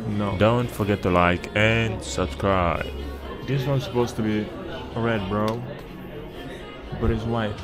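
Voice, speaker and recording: A man's voice: on the low side, fairly even intonation, takes it slowly, long pauses. A man vlogging as he walks through a shopping mall, rambling casually to himself and to people he passes. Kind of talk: casual walking commentary. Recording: loud music in a busy mall.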